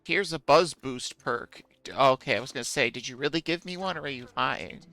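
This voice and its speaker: A man talks casually with his viewers while he plays, musing aloud and poking fun at himself. His voice is bright and smooth, with some vocal fry at the ends of phrases. The sound is close and dry at a desk mic. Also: mid-range voice, a little fast, no room sound, soft background music. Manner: musing, self-deprecating, answers chat. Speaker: streamer playing a game. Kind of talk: casual gameplay commentary, chatting with viewers.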